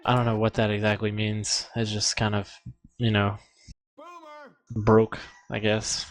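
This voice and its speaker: A man's voice: on the low side, completely flat delivery, measured pace. A man co-casting a video game match with casual analysis and tentative, conversational explanations. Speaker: esports co-caster.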